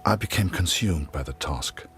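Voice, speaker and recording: An actor playing a grave, weary middle-aged soldier, narrating solemnly and with measure in a dramatic game. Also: male voice, a bass voice, lively intonation, normal speed, a bit bright, soft background music. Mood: grieving, reflective. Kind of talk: scripted dramatic narration, letter-like.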